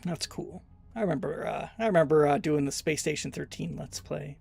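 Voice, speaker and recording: A Canadian man with a gravelly, fry-heavy voice that sits a little high for a man. He talks through a game stream in a loose, rambling way, teasing himself as he goes. Faint game music plays underneath. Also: a little fast, darkish tone. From Alberta, Canada. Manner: loose, rambling and self-deprecating. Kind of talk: live gameplay commentary while reading chat.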